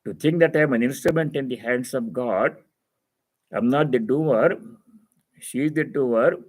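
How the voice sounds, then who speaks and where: Man giving a measured spiritual discourse. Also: medium pitch, a little mellow. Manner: didactic, repeats phrases for emphasis. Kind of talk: spiritual discourse, reading and explaining scripture.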